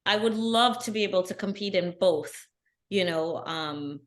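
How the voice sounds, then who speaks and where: A middle-aged woman, a guest on an interview podcast, telling her story in an earnest, animated way. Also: medium pitch, measured pace. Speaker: guest, bikini athlete. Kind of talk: casual interview.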